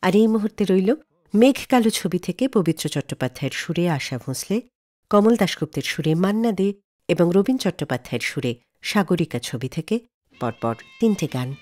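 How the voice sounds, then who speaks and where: A woman presenting a scripted music radio show in an expressive, measured way. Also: on the low side.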